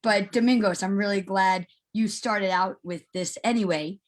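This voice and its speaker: A middle-aged woman puts a question at an online meeting. Her pitch is average for a woman. She talks informally and chattily, taking her time to circle toward what she wants to ask. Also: fairly even intonation, moderate pace, a little hiss or hum. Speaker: meeting attendee asking a question.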